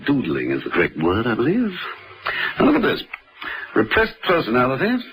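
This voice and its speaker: An actor playing an urbane, musing gentleman agent with a British accent in a vintage radio drama. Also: mid-range voice, wildly swooping pitch, moderate pace, a little hiss or hum, poor audio. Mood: musing, suave.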